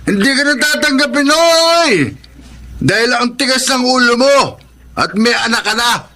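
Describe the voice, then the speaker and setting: A Filipino actor playing an abusive, boastful young husband in a radio drama, with a menacing, taunting delivery. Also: male voice, extremely high pitch, measured pace, bright, forceful tone, heavily acted. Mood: angry, taunting.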